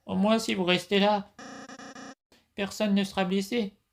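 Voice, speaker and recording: A woman playing a timid but loyal little monster prince, a young boy, with halting, earnest delivery in a dramatic game comic dub. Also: medium pitch, flat delivery, vocal fry, faint music behind. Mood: worried, earnest.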